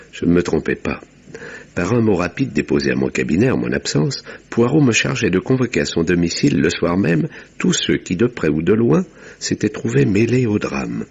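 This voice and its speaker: An actor playing a sombre village doctor who narrates a radio murder mystery in a measured, formal, literary way, with a gravelly, husky voice on a dull vintage recording. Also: male voice, low-pitched, exaggerated voice. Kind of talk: literary narration in 1950s-style radio drama.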